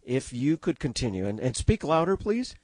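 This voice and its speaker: A man playing an excitable, courteous 1930s radio news reporter with formal announcer diction that gets flustered. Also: mid-range voice, normal speed, dry recording. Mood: excited, flustered. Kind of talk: 1930s radio drama acting.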